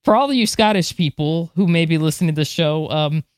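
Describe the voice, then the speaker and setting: A woman's voice: sits low, brisk, a little mellow, no room sound, studio quality. A woman co-hosting a podcast, chatting casually and reacting with enthusiastic exclamations. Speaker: podcast co-host.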